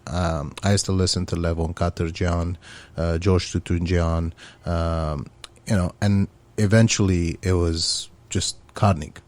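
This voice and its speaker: A man with a very low voice talks through an interview. He is a middle-aged musician from Syria, earnest and loose, with many ums and you-knows. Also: fairly even intonation, a little slow, a little mellow. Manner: earnest, speaks with many fillers. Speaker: musician guest. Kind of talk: casual interview chat.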